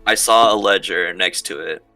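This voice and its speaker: A man's voice: sits low, normal speed, far from the mic, music in the background, poor audio. A man playing a tabletop roleplaying game, speaking improvised lines in character.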